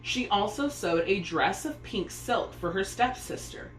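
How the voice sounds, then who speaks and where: Slow, animated woman reading a storybook. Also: medium pitch, faint music behind. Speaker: storybook reader. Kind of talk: expressive read-aloud children's story narration. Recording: echoey room with faint noise.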